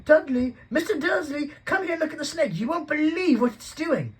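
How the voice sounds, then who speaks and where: A man voicing a shrill, excited schoolboy who yells at the top of his voice. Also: normal speed. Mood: excited yelling. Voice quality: shrill, shouting.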